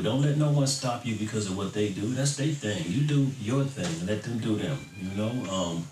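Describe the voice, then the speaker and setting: A middle-aged American man talking casually and earnestly to his fans, in an informal, rambling way. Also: mid-range voice. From Florida, then Michigan, United States. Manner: informal, earnest, rambling, often tagging "you know". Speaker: electronic bass music artist. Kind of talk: casual reflective talk to fans. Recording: roomy, distant mic, faint background noise.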